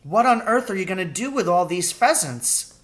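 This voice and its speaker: A man's voice: high voice, expressive, moderate pace, voice pushed up. A man voicing a curious, easily astonished young boy with a wide-eyed, questioning manner in a storybook reading.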